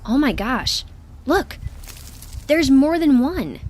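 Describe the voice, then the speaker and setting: A woman's voice: sits high, sing-song, takes it slowly, darkish tone, slight background noise, heavily acted. A woman playing an observant crew scientist in an audio drama, hesitant yet matter-of-fact, with an exasperated edge. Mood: exclaiming, exasperated. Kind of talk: naturalistic audio drama acting.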